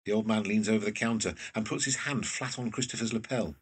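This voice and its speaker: Low, husky male narrator. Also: fast, far from the mic. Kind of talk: scripted narration of stage directions.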